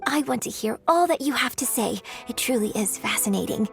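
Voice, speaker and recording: A woman's voice: fairly high pitch, lively intonation, brisk, vocal fry, faint music behind. A woman playing a refined, courteous young opera performer in a video game, speaking with polite, formal, elegant phrasing. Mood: curious, polite. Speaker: game character voice. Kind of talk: scripted video game dialogue.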